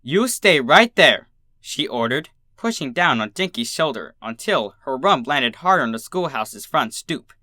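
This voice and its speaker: A narrator reading fan fiction aloud in an expressive storytelling style. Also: medium pitch, moderate pace, hardly any pauses, no room sound, clean studio sound.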